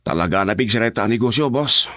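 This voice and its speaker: A man's voice: on the low side, animated, fast talker, bright, forceful tone, no echo, clean studio sound. An actor playing a nervous, deferential henchman, eager and jumpy, in a radio drama. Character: nervous, deferential henchman reporting to his boss. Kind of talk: Ilocano radio drama acting.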